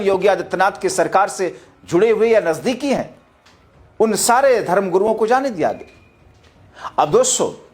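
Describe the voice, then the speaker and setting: A man presents political news in a dramatic, emphatic, rhetorical style, addressing his viewers directly. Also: sits high. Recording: music bed behind the voice. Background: background music.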